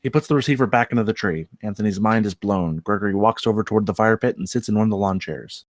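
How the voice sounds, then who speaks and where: A man's voice: lowish voice, quick pace, dry recording, clean studio sound. A man reading stage directions as narrator of a scripted comedy table read.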